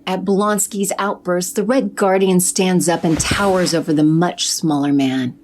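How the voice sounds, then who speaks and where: A woman narrating an audio drama in an even, descriptive way. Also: lowish voice, unhurried, a little hiss or hum.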